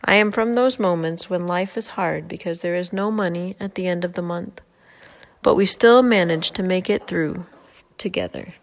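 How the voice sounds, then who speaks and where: A woman reading a personal poem aloud in a steady, rhythmic recitation. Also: fairly low pitch, normal speed.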